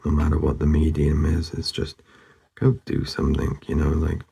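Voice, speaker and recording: A man narrating casually as he draws, laid-back and murmuring, with a husky, gravelly voice that often trails off. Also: rumbling low, monotone, normal speed, warm tone. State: losing his voice, hoarse. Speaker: artist hosting a drawing channel. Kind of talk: casual narration while drawing.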